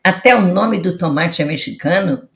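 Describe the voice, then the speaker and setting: A woman's voice: on the low side, lively intonation, moderate pace, gravelly. A woman hosting a food-history podcast, introducing guests and asking questions in a friendly way. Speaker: host.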